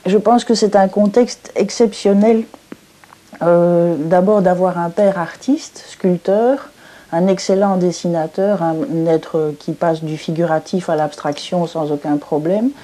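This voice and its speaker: A middle-aged woman from Belgium, an artist interviewed on television, speaking in a thoughtful, measured way and searching for her words. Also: on the low side, steady tone, mellow. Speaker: painter and philosopher interviewed.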